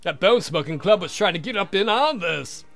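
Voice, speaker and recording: A man's voice: high-pitched, animated, brisk, vocal fry, fairly bright tone, soft background music. A man hosting a let's play, narrating a visual novel and throwing in irreverent, jokey asides.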